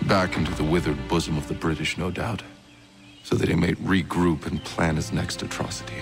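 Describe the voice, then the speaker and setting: A battle-weary militia commander in a video game cutscene. His voice is very low and gravelly, and he talks bluntly, with sardonic command, over faint music. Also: little pitch movement, fairly quick. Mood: weary, grim.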